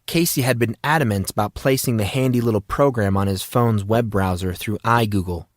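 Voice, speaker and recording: A man's voice: on the low side, a little fast, no echo, clean studio sound. A man reading a story aloud as a scripted, dramatized audiobook narration.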